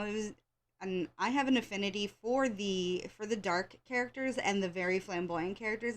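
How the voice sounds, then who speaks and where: An American woman hosting a wrestling show in casual, rambling chat, with a slightly congested, scratchy voice and an informal, opinionated fan's way of talking. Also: medium pitch. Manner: opinionated, informal fan talk with repeated phrases.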